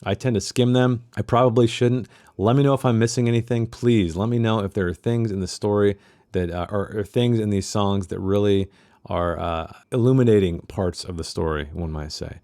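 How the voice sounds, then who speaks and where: A man's voice: lowish voice, moderate pace, a little mellow, dry recording, clean studio sound. A man hosting a book club in a chatty, self-deprecating way, casually discussing passages he reads aloud.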